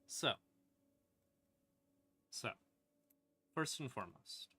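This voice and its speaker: A man's voice: mid-range voice, expressive, drawn-out words, sharp tone, breathy edge. A man hosting a casual livestream, talking informally in a rambling way with hesitant, trailing asides. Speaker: streamer hosting a lore question-and-answer stream. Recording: ambient horror music playing behind. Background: creepy ambient backing music.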